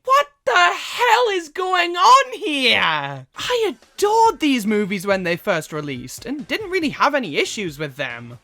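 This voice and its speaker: A woman's voice: sits high, sharp tone. A woman delivering scripted movie review commentary as a video host, with theatrical, exasperated emphasis and some swearing.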